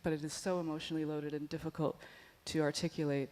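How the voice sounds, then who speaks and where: A woman panelist giving a thoughtful, measured answer at a museum panel discussion. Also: sits low, little pitch movement, darkish tone, slight background noise. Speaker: panelist artist.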